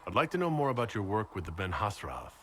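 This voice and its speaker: A man playing a composed, earnest fantasy hero in a video game, measured and inquisitive. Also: on the low side, big pitch swings, fairly bright tone, a little hiss or hum. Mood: curious, wary.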